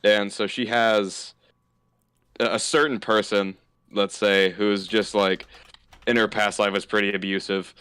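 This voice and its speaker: Teen boy storyteller, low smooth voice, animated podcast banter. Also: measured pace, dry recording, studio quality. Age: teens. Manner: animated, laughing, jumps into high-pitched put-on voices. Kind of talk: casual chat, storytelling.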